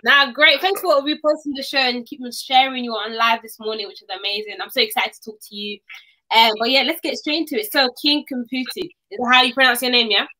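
Bright-voiced, enthusiastic young woman host. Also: on the high side, steady tone, quick pace, rough recording. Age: young adult. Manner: enthusiastic, affirming. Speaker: show host. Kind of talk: upbeat interview chat. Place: small room at home, video call. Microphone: video call.

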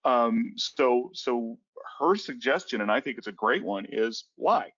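A man's voice: medium pitch, lively intonation, a little slow, fairly bright tone. A man in his thirties presenting a cybersecurity webinar in a casual, rambling way, often restarting his sentences. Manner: rambling with frequent restarts and fillers. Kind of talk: casual conversational webinar talk. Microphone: headset on a video call. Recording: dull lo-fi webinar audio.

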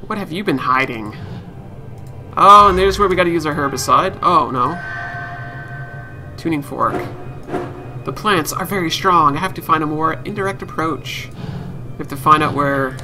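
A man's voice: on the high side, normal speed, long pauses. A man giving live let's play commentary, muttering short reactions to himself as he plays. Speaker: gaming streamer. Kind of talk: let's play live stream commentary, reading game text aloud. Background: game music.

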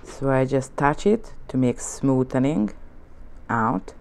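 A woman with a Hungarian accent, a native Hungarian speaker, giving a relaxed nail art tutorial at her studio desk, talking in gentle, coaxing instructions and often checking in with "okay?". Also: a bass voice, slow pace, gravelly. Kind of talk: relaxed tutorial narration while working. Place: indoor nail studio desk, hands on camera from above.

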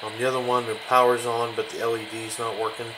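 A man giving a casual, off-the-cuff show-and-tell as a hobby video host, speaking in halting, trailing phrases in a kitchen behind a handheld camera. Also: mid-range voice, little pitch movement. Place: home kitchen, indoors. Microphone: handheld camera, behind the lens. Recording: clearly noisy home camera recording.

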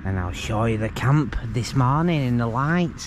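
Man, forties, medium pitch, casual muttered vlog chat, very noisy outdoor recording beside a road. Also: lively intonation, normal speed. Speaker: camping vlogger. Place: in and beside a small tent by a busy road. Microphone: camera at arm's length or propped nearby. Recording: noisy outdoor field recording, passing traffic. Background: traffic and outdoor ambience.

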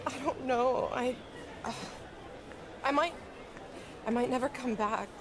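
High-voiced, sincere young woman. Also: a little slow, a crowd in the background. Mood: wistful, tender. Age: young adult. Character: sincere young woman athlete bidding farewell. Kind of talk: video game cutscene dialogue.

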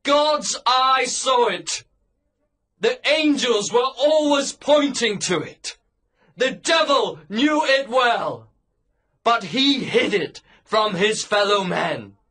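Man doing a passionate confessing minister, very high, slow and bright. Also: expressive, exaggerated voice. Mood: impassioned. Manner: impassioned declaiming. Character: anguished male minister confessing his sin. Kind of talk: audiobook character voice.

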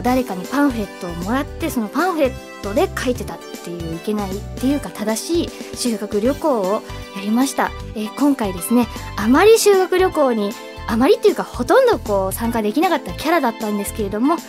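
A voice actress rambling through a casual cast comment in a recording studio. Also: female voice, a little high, expressive, quick pace, few pauses, music in the background. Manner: rambling, many fillers.

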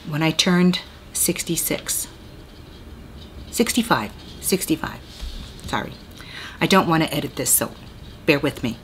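A woman's voice: deep voice, expressive, unhurried, pauses often, a little hiss or hum. A woman, chatting casually and rambling through a beauty tag video at home. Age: 60s. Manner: chatty, rambling, self-deprecating with laughs. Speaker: beauty vlogger. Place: small room at home, indoors.

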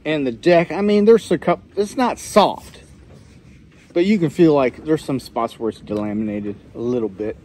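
A middle-aged man's voice with a slightly raised pitch, loose and plainspoken. He wanders through his points outdoors, restarting sentences as he goes. It sounds picked up by a handheld camera held close to him. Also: normal speed, darkish tone. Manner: plainspoken, rambling, trails off and restarts. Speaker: sailing vlogger. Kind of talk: casual walkthrough commentary. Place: outdoors in a boatyard, walking around boats. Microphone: handheld camera, selfie framing.

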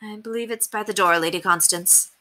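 A woman voicing a polite young governess in a storybook, soft-spoken, measured and tactful. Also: mid-range voice, natural voice. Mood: placating.

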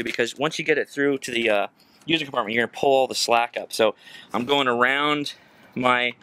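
A man's voice: medium pitch, bright, forceful tone. A man in his thirties giving a casual hands-on tutorial, thinking aloud as he works. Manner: casual, chuckling, thinking aloud while working. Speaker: DIY presenter. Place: outdoors at an open car. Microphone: camera set near the work. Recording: clear background noise, outdoor ambience.

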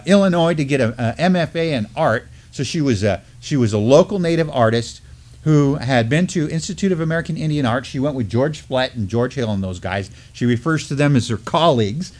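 A man in his fifties speaks as co-host of a news live stream. He talks conversationally, moving between commentary and storytelling. His delivery is earnest and emphatic, with a medium pitch. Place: indoor studio at a desk with a backdrop.

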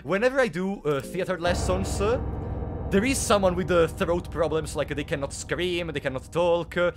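A man's voice: high-pitched, normal speed, noisy background. A young man with a sore throat, chatting playfully with his live chat while streaming a game. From Italy. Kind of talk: casual live-stream commentary.

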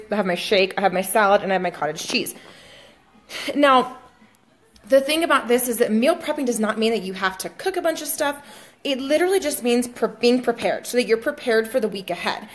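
A woman's voice: a little high, lively intonation, brisk. Chatty young woman filming on her phone. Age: young adult. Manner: chatty, many fillers, talks to viewers as guys. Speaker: fitness coach. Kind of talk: casual vlog tutorial. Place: home kitchen, indoors. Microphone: phone camera held at arm's length.

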